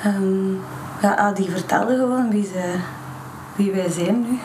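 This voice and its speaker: Casting: actress as sincere Flemish woman, thirties, halting interview answers, medium pitch, roomy noisy sound. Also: lightly acted. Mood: hesitant. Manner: halting, searching for words. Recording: echoey room with loud background noise.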